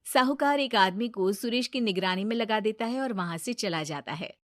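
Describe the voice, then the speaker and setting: A woman narrating a moral cartoon story in a steady, matter-of-fact way. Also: medium pitch, normal speed, no room sound, studio quality.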